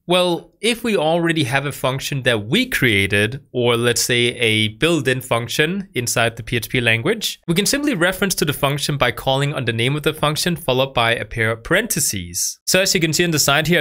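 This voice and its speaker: Young male tutor in his twenties, bright tone, patient beginner-friendly explainer, close studio mic. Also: mid-range voice. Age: 20s. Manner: patient, repeats himself for beginners. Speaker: programming tutor. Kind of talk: explaining code to camera. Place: dark studio, indoors. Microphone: studio mic on boom arm.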